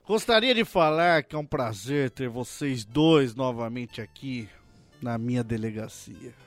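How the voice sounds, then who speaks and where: A man playing a stern tiger police detective in a comedic audio drama, speaking formally and deliberately with cold sarcasm. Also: mid-range voice, lively intonation, no room sound, faint music behind. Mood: mocking.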